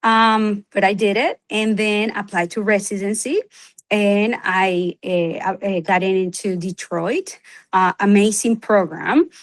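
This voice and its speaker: A woman's voice: mid-range voice, fairly even intonation, takes it slowly. A middle-aged woman from Venezuela, a native Spanish speaker, answering interview questions as a physician, with many fillers and restarts. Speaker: OB/Gyn physician, guest. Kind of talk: conversational interview.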